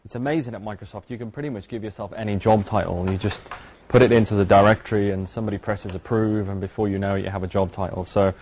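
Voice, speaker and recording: A man's voice: lowish voice, steady tone, quick pace, darkish tone, a little hiss or hum. A man from the UK with a British accent, giving a conference talk in a loose, conversational way with many fillers and restarts. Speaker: conference speaker. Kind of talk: presentation talk.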